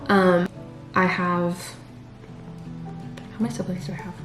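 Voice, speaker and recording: Young woman vlogger, low voice, rambling casual chat in a roomy space with music under it. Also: little pitch movement, measured pace. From California. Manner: rambling, many fillers and restarts. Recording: roomy sound with background music.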